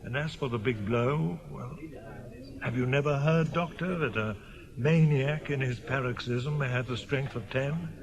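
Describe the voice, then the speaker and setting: A man's voice: medium pitch, unhurried, crowd noise, close to an everyday voice. An actor playing an earnest, anxious village clergyman in a British radio drama, speaking formally and insistently. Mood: urgently insistent.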